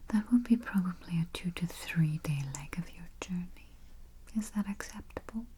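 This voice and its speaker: A woman whispering softly as a travel agent in an ASMR roleplay, murmuring little mm-hmms between phrases, close to the mic. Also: on the low side, moderate pace, slight background noise. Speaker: ASMR artist playing a spooky travel agent. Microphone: close sensitive mic. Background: keyboard typing and finger taps.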